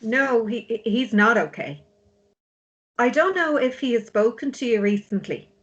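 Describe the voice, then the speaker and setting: A woman's voice: fairly high pitch, animated, a little slow, muffled recording. A woman playing a blunt, worried, exasperated sister of a grieving drunk in a drama table read. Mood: hesitant, worried. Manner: blunt, pleading.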